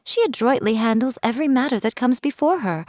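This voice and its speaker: A woman's voice: on the high side, fairly quick, a little mellow, no echo, studio quality, lightly acted. A woman voicing a sly, teasing fox-spirit shrine priestess, speaking in a playful, wry, mocking tone. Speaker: game character. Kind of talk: scripted game voice lines.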